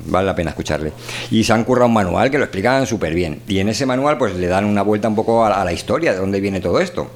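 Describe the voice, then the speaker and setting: A man with a European Spanish accent chatting colloquially as a podcast host, halting and repeating words as he searches for phrases. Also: on the low side, expressive. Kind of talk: casual chat.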